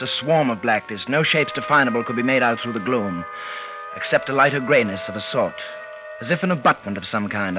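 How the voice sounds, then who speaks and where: An actor playing a tense man recounting a night of terror in halting, dramatic phrases, in 1940s radio style. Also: medium pitch, lightly acted. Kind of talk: 1940s radio acting, first-person suspense narration. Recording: muffled vintage 1940s radio recording, music behind.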